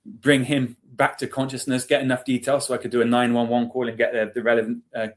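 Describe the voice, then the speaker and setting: A man's voice: medium pitch, little pitch movement, fast talker. British male, 40s, mid-range voice, chatty interview guest with an informal storytelling style. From England. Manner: chatty, self-deprecating. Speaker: interview guest, army veteran. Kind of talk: casual storytelling.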